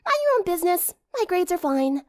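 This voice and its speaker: A woman playing a brash, boastful tomboy teenage girl in an audiobook story, cocky and quick to huff. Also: very high voice, sing-song, pitched above the performer's own voice. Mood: huffy. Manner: huffy, loud, cocky.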